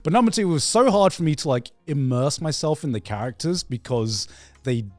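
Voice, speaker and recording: Halting man in dry, casual podcast chat. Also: on the high side, big pitch swings, a little mellow, no echo. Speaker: podcast co-host.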